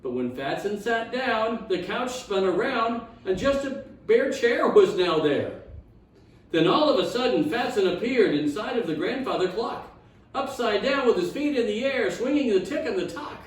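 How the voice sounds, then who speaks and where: Male storyteller, rhyming kids' tale, expressive and animated, distant from the mic, strong room echo. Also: high-pitched, normal speed. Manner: theatrical, expressive reading. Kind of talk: rhyming children's storytime narration.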